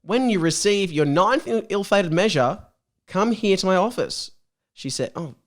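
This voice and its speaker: A man's voice: sits high, animated, moderate pace. An Australian man as a comedy podcast guest, chatting casually and reading aloud with jokey theatrical touches.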